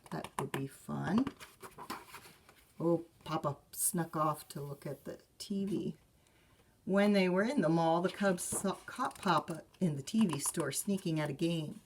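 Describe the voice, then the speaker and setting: Female children's librarian, storytime read-aloud, slightly low creaky voice, expressive, speaks straight to the kids. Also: a little slow, a little hiss or hum. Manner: expressive reading, talks directly to young listeners.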